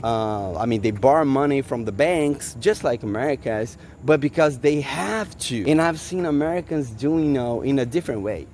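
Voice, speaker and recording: A man in his forties from Brazil, with a Brazilian Portuguese accent and Portuguese as his first language, vlogging casually outdoors after a jog, halting and searching for words with many fillers. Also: medium pitch, a little mellow, a little hiss or hum. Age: 40s. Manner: just back from a jog. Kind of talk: casual vlog to camera. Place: outdoors in a park.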